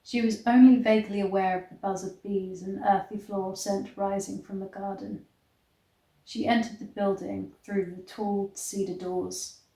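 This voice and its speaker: A woman's voice: mid-range voice, little pitch movement, normal speed, mellow. A woman reading aloud and commenting as a casual vlog host, with a wry, sarcastic manner. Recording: echoey room.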